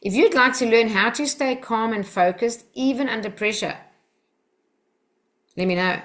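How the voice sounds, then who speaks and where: A woman's voice: a little high, normal speed, fairly bright tone. A South African woman teaching a mind development webinar over a video call, in a direct, encouraging, chatty way. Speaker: Silva Method instructor.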